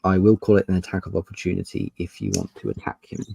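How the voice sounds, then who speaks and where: A man running a tabletop roleplaying game, narrating scenes in a measured, descriptive and polite way. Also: deep voice, big pitch swings, creaky, warm tone, slightly rough, no room sound. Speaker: game master.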